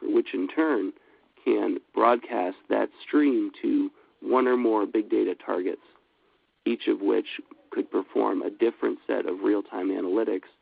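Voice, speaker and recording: A low, gravelly man with a cold presents at a steady, businesslike pace, with frequent fillers. The sound is thin and phone-like and very dry. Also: no echo. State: has a cold. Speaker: webinar presenter. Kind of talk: scripted product presentation.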